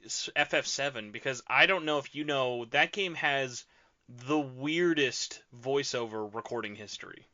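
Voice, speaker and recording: A man's voice: mid-range voice, a little slow, bright, forceful tone. A man hosting a podcast, asking long rambling questions full of fillers. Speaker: podcast host. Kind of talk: casual podcast interview. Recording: dull lo-fi podcast audio.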